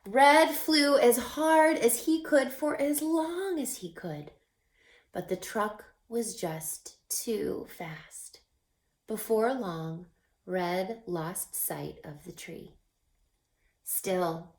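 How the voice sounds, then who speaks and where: Slow, echoey woman reading a storybook. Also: medium pitch. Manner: expressive, teacherly, pausing for questions. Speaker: reading teacher reading a picture book aloud. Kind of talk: children's storybook read-aloud with questions to young listeners. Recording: echoey, roomy sound.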